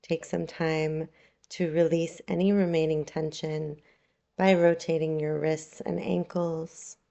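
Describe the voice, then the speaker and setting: A woman leading a guided relaxation meditation as a yoga nidra guide, calm, soothing and unhurried, with long pauses. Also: low-pitched, creaky.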